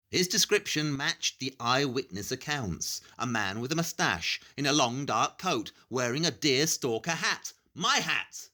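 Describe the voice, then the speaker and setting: An actor playing a brilliant, grave Victorian detective in an audio play, explaining in a measured, articulate, deliberate way. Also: male voice, mid-range voice, bright, forceful tone.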